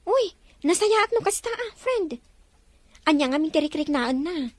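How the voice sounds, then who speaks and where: An actress playing a chatty, gossipy friend who teases and gives advice. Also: female voice, very high-pitched, expressive, a little slow, no room sound. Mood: teasing. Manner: teasing, gossipy. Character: chatty, nosy middle-aged woman friend.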